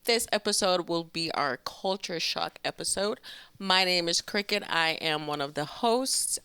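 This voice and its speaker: A woman of thirty opening a discussion show as host, upbeat and quick. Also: fairly low pitch, bright, forceful tone, clear, resonant tone, no room sound. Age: 30s.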